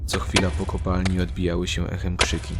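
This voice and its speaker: A man's voice: low voice, completely flat delivery, fairly quick, heavy background noise. A man reading scripted, dramatic narration for a fantasy audio drama. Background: loud dramatic music.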